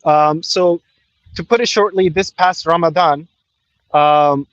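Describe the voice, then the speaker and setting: Higher-voiced young man on a dull call. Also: slow pace, fairly bright tone, dry recording. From Pakistan, later Canada. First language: Urdu. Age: 20s. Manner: informal, with frequent "you know" and slang. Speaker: caller. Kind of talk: casual personal storytelling. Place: outdoors.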